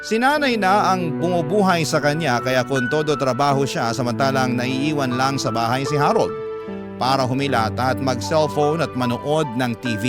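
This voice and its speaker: A man's voice: medium pitch, normal speed. A man with a Filipino accent reading dramatic scripted first-person narration as a radio-style storyteller. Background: loud music.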